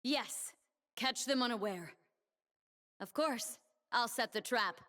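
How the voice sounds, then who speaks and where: An actress voicing a proud, resolute young warrior woman in a video game, solemn and commanding, with measured delivery. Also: on the high side, pushed and bright. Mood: composed, tactical. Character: proud, resolute young woman warrior, blade dancer defending her homeland. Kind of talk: game champion voice lines and trailer narration. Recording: reverberant game audio over music.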